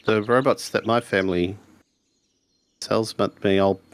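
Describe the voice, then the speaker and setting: A man's voice: fairly low pitch, steady tone, measured pace. A man roleplaying a laid-back, skeptical schoolboy in a 1980s sci-fi mystery tabletop game, talking in a dry, hesitant way. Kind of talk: improvised in-character tabletop roleplay.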